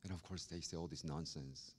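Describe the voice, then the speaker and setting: A man from Korea with a Korean accent, preaching a sermon as a pastor and telling stories with lively acted-out voices. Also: a bass voice, a little fast, breathy edge. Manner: animated, acts out quoted voices. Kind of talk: storytelling sermon.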